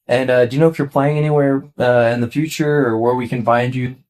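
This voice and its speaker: A man's voice: mid-range voice, fairly even intonation, a little fast, darkish tone. Laid-back male college radio host. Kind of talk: casual radio interview. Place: radio station studio.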